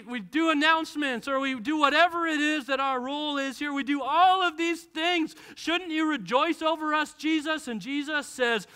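A man in his thirties preaching a sermon on a large church stage, animated and acting out the voices of people in the text, heard through a headset mic. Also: extremely high pitch, clear, resonant tone. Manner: animated, gestures widely and acts out voices from the text. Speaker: pastor.

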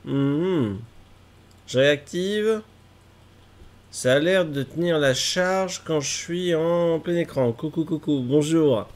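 A man's voice: on the high side, slow pace. A man in his thirties with a cold, chatting casually to his live-stream viewers at home while fixing tech problems and gaming. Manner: chatty, self-mocking, fussing over tech trouble. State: stuffy with a cold. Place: small room at home, gaming chair.

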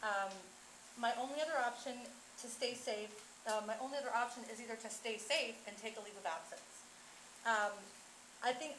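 Woman speaking in an echoey, noisy room. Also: mid-range voice. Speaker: pre-K special education teacher. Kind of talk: personal public comment. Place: large indoor board meeting chamber.

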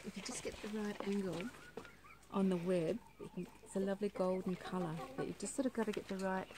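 A woman's voice: medium pitch, fairly even intonation, fast talker, background noise. A middle-aged woman with an Australian accent, a farm stay host casually explaining the animals to guests outdoors. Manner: chatty, informative, easygoing. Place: outdoor farmyard by chicken coops. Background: clucking chickens and roosters.

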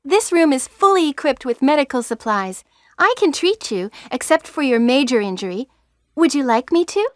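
A woman's voice: high voice, expressive, moderate pace, dry recording, studio quality. An actress playing an eager young female medic in a stilted nineties video game. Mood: earnest, concerned.

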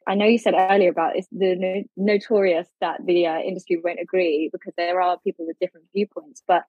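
Husky woman, thoughtful guest. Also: fairly low pitch, flat delivery, brisk, no room sound, phone-quality sound. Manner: thoughtful, hedging, conversational. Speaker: podcast guest. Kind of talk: podcast discussion.

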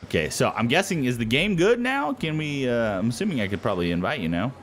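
A man's voice: medium pitch, big pitch swings, quick pace, slight background noise. Rowdy joking young man streaming a game. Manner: loud, joking, swearing. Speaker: gaming streamer. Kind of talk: casual co-op gameplay commentary.

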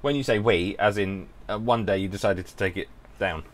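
A man's voice: lowish voice, normal speed. A middle-aged man, a father, chatting cheerfully with his son while they play a game, taking his own slip-ups with dry humor. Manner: cheerful, dryly self-mocking, easily surprised. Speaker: father and co-host playing a game. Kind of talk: casual gaming chat.

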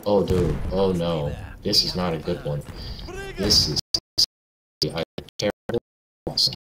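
A young man gaming and joking over voice chat in deadpan, mock-offended banter. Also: sits low, slow pace. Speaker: gamer. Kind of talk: casual voice chat while playing.

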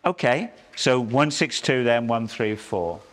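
Older guy auditor, brisk probing questions, factory office, lapel mic. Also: mid-range voice, expressive, fairly bright tone, a little hiss or hum.

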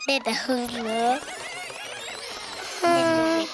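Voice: sits high, drawn-out words, poor audio. An actor voicing a cheerful, boastful toddler in a preschool cartoon, speaking in simple baby-like phrases. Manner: simple toddler phrasing, refers to self by name. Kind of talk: animated children's cartoon dialogue. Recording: children's music playing behind the voice.

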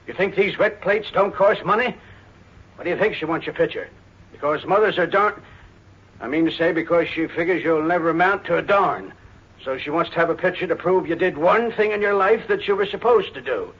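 Impatient small-town photographer, crotchety and folksy, husky upper-register voice, 1940s radio drama. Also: male voice, high-pitched, a little fast. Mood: exasperated, grumbling. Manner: grumbling, folksy. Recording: scratchy, muffled 1940s radio recording.

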